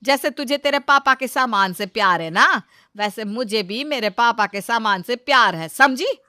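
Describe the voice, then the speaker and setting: Bossy, sarcastic Indian mother-in-law for a comic family story, acted by a woman; sharp, forceful, higher voice, close dry sound. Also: lively intonation, moderate pace, no echo. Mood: sarcastic, indignant. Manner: scolding, sarcastic, dramatic.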